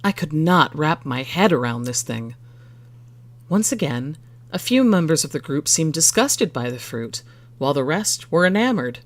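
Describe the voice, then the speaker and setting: A storyteller reading a first-person short story in a measured, expressive way. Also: mid-range voice, no room sound.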